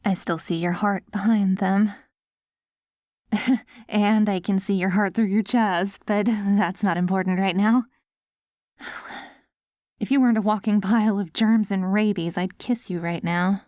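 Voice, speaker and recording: A woman playing an affectionate post-apocalyptic wife in an ASMR audio roleplay, coaxing and gently praising her ghoul husband. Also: medium pitch, fairly even intonation, unhurried, dry recording, clean studio sound, natural voice. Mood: tender, wistful.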